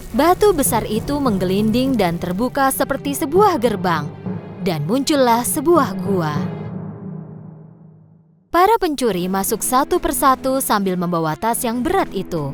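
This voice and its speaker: A woman's voice: on the high side, sing-song, unhurried, music in the background. A woman narrating a children's storybook tale from a script.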